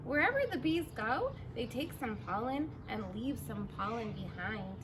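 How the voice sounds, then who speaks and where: Woman, high-pitched, expressive children's read-aloud, drawn-out words, outdoor backyard recording, clearly noisy background. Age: 30s or 40s. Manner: expressive, drawn-out words, simple questions to young listeners. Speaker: teacher reading a picture book to children.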